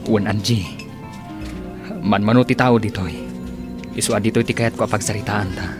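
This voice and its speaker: A man's voice: fairly low pitch, fairly even intonation, normal speed, lightly acted. Man playing an earnest Filipino suitor over music. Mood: apologetic, tender. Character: apologetic, earnest gentleman suitor. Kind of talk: radio drama acting.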